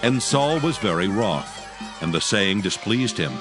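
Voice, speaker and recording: Deep-voiced man narrating scripture. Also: expressive, measured pace, music in the background. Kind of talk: scripted scripture narration, dramatized audio book.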